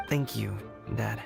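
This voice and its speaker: An actor playing an awkward, good-hearted young adult son who speaks hesitantly. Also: male voice, lowish voice, gravelly, heavily acted. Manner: hesitant, stumbling. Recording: game audio with loud music.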